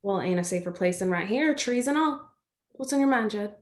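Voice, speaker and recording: A woman's voice: medium pitch, expressive, fairly quick, lightly acted. A woman playing a caring country girl in a stage play, folksy and direct with her best friend. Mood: coaxing.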